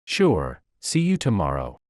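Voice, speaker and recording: A man's voice: low voice, huge pitch swings, unhurried, darkish tone, no echo, studio quality, heavily acted. A man voicing an earnest, humble teenage schoolboy in a narrated story.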